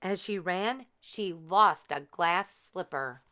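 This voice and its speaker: A woman narrating a children's storybook in a deliberate, expressive way. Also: fairly low pitch. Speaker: children's read-aloud host and storyteller.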